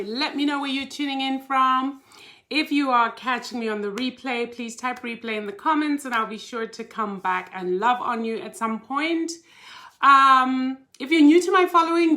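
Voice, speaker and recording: A woman's voice: on the high side, bright, forceful tone. A woman from Zimbabwe, a life coach hosting a casual live stream and chatting animatedly with her viewers, laughing easily. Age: older.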